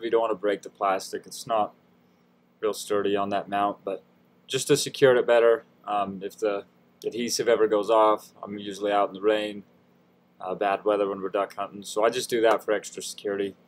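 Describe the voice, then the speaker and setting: A man with a fairly low voice talks through a hands-on tutorial as a voice-over. His delivery is informal and folksy, with a self-deprecating, easygoing way of explaining each step. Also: fairly even intonation, fairly quick, slight background noise. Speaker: DIY tutorial host. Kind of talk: casual how-to voice-over narration.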